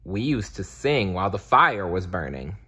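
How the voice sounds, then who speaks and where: Turtle dad for a picture book, read by a man: easygoing, practical, bright voice with some husk. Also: low-pitched, sing-song, moderate pace, slightly rough, full character voice. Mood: reminiscing. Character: turtle father, friendly, calm and practical.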